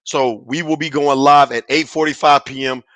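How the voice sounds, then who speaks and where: A man hosting a casual sports podcast, his voice raspy and hoarse from yelling, talking in a hyped, slangy way on a headset mic. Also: a little high, steady tone, normal speed, no echo. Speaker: podcast host.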